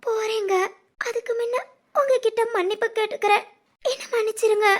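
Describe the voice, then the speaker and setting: Woman playing a high-pitched, tearful remorseful mother. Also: steady tone, moderate pace. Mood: tearful, apologetic. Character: remorseful, self-abasing middle-aged mother and estranged wife. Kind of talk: family audio drama acting.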